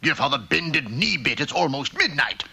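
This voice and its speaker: A man's voice: on the high side, sing-song, moderate pace, creaky, sharp tone, breathy edge, full character voice. A man playing a fussy cartoon prime minister, formal and deferential, urging on his master like a conspirator. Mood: urgent. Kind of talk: comic cartoon voice acting.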